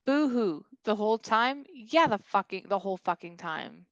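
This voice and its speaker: A woman's voice: medium pitch, lively intonation, normal speed, a bit bright, dry recording, highs cut off, lightly acted. Woman acting a scornful spy villain, close dry sound. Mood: cold, mocking. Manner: mocking, profane. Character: scornful, ruthless female secret-agent traitor. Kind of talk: virtual table read of a comedy script.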